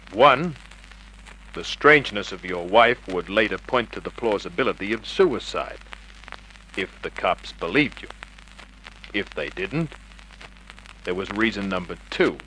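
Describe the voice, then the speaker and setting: Hardboiled detective, commanding and wry, bright lowish voice, 1950s radio play with faint hiss. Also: male voice, lively intonation, a little slow, pauses often, rough recording. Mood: cool, controlled. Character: tough, cool, wisecracking male private investigator. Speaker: lead actor and narrator. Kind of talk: 1950s radio crime drama acting, hardboiled narration. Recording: old radio recording with hiss.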